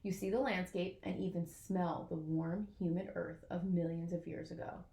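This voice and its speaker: A woman reading a children's picture book aloud in an expressive way, as if to young listeners. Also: on the low side, normal speed, echoey room. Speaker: storybook reader.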